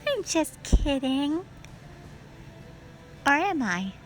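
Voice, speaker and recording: A woman playing a wistful, scheming yet playful witch sister in an audio roleplay, speaking softly and reflectively to the listener. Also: sits high, sing-song, drawn-out words, soft background music, exaggerated voice. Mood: teasing.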